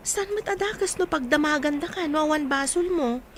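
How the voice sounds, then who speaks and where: A woman's voice: high-pitched, fairly quick, a little hiss or hum, natural voice. A woman with an Ilocano accent playing a determined, suspicious young female investigator in a radio drama, questioning insistently. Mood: accusing, pressing.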